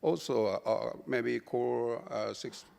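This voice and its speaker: Japanese guy on a panel, really gravelly, halting english, echoey hall. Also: mid-range voice, darkish tone. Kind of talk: live panel discussion. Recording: reverberant hall.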